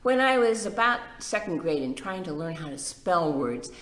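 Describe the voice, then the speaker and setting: A woman's voice: fairly low pitch, very animated, moderate pace, a bit bright. A woman hosting a casual library storytime, chatting directly to young children. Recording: echoey room with faint background noise.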